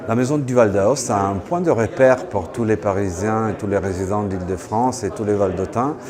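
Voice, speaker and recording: A man's voice: lowish voice, normal speed. A man giving a promotional invitation to viewers as the representative of a cultural centre. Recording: crowd chatter behind.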